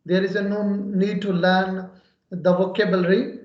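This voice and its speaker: A man whose first language is Urdu, an English teacher, explaining in an earnest way with many pauses and fillers. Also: high-pitched, little pitch movement. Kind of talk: casual conversation practice. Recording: echoey room, dull lo-fi audio.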